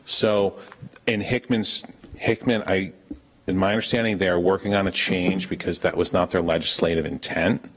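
Deep-voiced man explaining at a meeting. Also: steady tone, moderate pace, breathy edge, rough recording. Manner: firm, explanatory, with frequent restarts. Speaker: town official presenting an ordinance. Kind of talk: public meeting discussion. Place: town meeting room, indoors.

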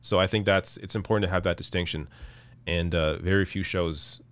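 A man in his thirties hosting a live political stream, reading chat and answering in a casual, halting way while losing his voice. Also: low-pitched, fairly even intonation, a bit bright. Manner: casual, halting, many restarts. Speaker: political show host. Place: small dark room, indoors. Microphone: studio mic on arm in front.

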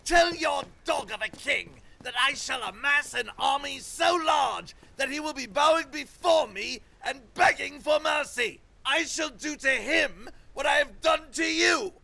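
A man voicing a cruel, sneering king who shouts threats in a storybook tale. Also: sits high, sing-song, measured pace, hardly any pauses, husky, pitched above the performer's own voice, heavily acted. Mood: raving. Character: sneering cruel male king, raving and boastful.

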